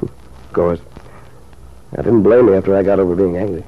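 A man's voice: sits low, fairly even intonation, moderate pace, lightly acted. An actor playing an ardent, reassuring lover of a married woman in an old radio soap opera, suave and earnest. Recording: muffled old radio recording.

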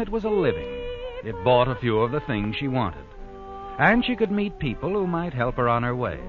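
Casting: brooding, all-knowing radio narrator of murder tales, animated mid-range delivery, dramatic, muffled vintage sound. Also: male voice, medium pitch. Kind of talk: 1940s radio mystery narration. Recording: dull old radio recording with prominent music.

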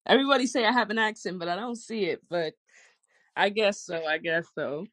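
A woman with a Boston accent, chatting casually and answering with quick, supportive affirmations. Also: medium pitch, a bit bright. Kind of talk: casual chat.

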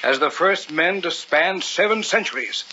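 An actor playing a zealous, commanding occultist in a theatrical, urgent old-time radio horror style. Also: male voice, on the high side, expressive, moderate pace, bright, forceful tone, slight background noise. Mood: eager, solemn. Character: zealous, commanding male occultist, obsessed with a ritual. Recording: dull old radio recording, music behind.

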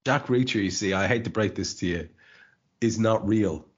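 Husky-voiced man hosting a podcast. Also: on the low side, normal speed, lo-fi sound. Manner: stammering, repeats words, laughs while talking. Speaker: podcast host. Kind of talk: casual chat.

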